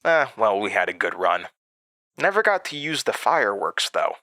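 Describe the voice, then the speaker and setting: A man's voice: fairly low pitch, big pitch swings, normal speed, pushed and bright, no echo, clean studio sound. A man playing a brave, hurt teenage boy in a horror audio drama, earnest and stammering. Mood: rueful. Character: brave, hurt teenage boy at summer camp, self-sacrificing.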